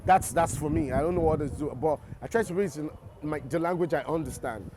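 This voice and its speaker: Nigerian male actor, interview guest, a bit high-pitched, thoughtful, repeats himself as he thinks. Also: quick pace. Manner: reflective, restarts and repeats words while explaining. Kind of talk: interview answers.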